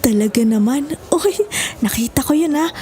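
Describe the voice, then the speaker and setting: A woman's voice: high voice, expressive, moderate pace, warm tone. A woman playing a playful young friend who teases, in a Filipino audio drama with a Filipino accent. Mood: playful teasing. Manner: teasing, giggly, sing-song. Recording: music behind the voice.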